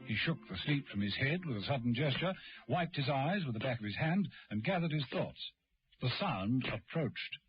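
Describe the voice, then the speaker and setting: A man's voice: medium pitch, lively intonation, normal speed. A man reading dramatic scripted narration for a radio play. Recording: dull old radio recording, music behind.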